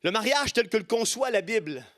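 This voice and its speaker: A man's voice: high-pitched, animated, brisk, a bit bright. A middle-aged man with a Quebec French accent preaching an impassioned sermon, emphatic and rhetorical, repeating key phrases. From Quebec, Canada.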